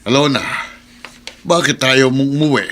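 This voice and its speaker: A man acts out a disappointed old grandfather in a radio drama. The voice is mid-pitched and sharp, scolding with weight. Faint noisy ambience sits behind him. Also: moderate pace, natural voice. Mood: stern.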